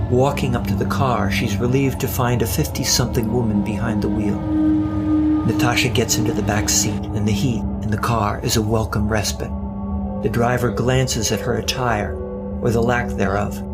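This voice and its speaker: A man's voice: mid-range voice, fairly even intonation, moderate pace, over loud music. A man reading scripted narration for an audio drama, with a wry storyteller's manner.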